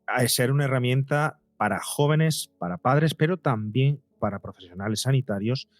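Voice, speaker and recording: A man's voice: medium pitch, normal speed, dry recording. A man answers as an interview guest, explaining conversationally, often repeating and rephrasing as he goes. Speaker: podcast guest, psychologist and documentary creator.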